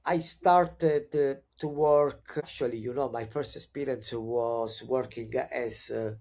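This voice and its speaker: A man's voice: mid-range voice, takes it slowly. A man in his forties from Italy, a native Italian speaker with an Italian accent, telling his career story as a guest in a conversational interview, hesitant with many fillers and restarts. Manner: upbeat and animated when excited. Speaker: podcast guest.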